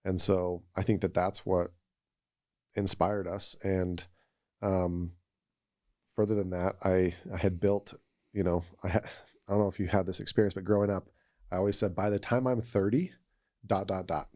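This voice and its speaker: A man with a very low voice shares personal stories as a podcast guest. He talks in an earnest, reflective and conversational way. The sound is dry, with very little room. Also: fairly even intonation, moderate pace, long pauses, a little mellow, no echo. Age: 30s or older. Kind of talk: casual personal storytelling.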